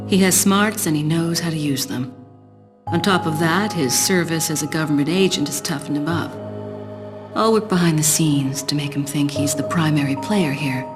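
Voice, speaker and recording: A woman's voice: low voice, natural voice. A woman voicing a cool, self-assured female spy in a video game cutscene, composed and slyly teasing.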